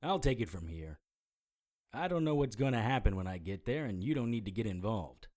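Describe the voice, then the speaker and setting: An actor playing a gruff, laconic hardboiled private eye in a noir audio drama, terse and world-weary. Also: male voice, on the low side, animated, a little fast, a little mellow, dry recording, clean studio sound, natural voice. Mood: gruff, firm.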